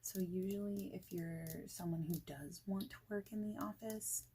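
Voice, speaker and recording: A woman speaking softly and breathily in an ASMR roleplay as a friendly, informal boss, often near a whisper, in a casual, hesitant, rambling manner. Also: medium pitch, steady tone, normal speed, a little hiss or hum. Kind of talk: soft-spoken ASMR roleplay, informal job interview chat.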